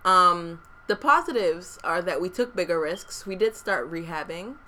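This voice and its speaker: This is a woman co-hosting a podcast, speaking in a casual, frank way and dropping plenty of "like" fillers. Her voice sits a little low for a woman but comes across bright and forward. She's recorded close on a desktop microphone. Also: lively intonation, normal speed. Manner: frank, chatty, many "like" fillers. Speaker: podcast co-host, real estate investor. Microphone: desktop USB microphone.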